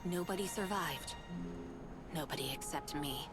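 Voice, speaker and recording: Guarded solemn game woman, formal wording, low for a lady, music behind. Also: measured pace. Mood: bitter grief. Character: solemn, guarded woman, lone survivor of destroyed realm. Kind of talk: video game cutscene acting.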